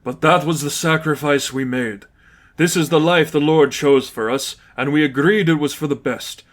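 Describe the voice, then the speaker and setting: A man voicing an old priest, calm, firm and weary, speaking in a measured, solemn way. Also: a little high, voice pushed up, lightly acted. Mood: solemn, wistful.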